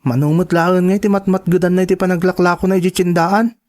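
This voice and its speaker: An actor with a Filipino accent playing an earnest, determined young college student in a radio drama, with an imploring manner. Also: male voice, high-pitched, steady tone, fast talker, darkish tone, lightly acted. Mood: earnest, confiding. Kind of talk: Ilocano radio-style drama acting.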